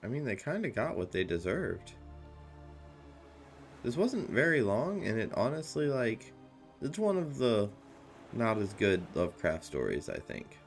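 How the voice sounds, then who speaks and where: A man's voice: mid-range voice, big pitch swings, measured pace, long pauses. A man giving casual, informal game commentary, reacting offhand as he plays. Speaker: let's play commentator. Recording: music behind the voice.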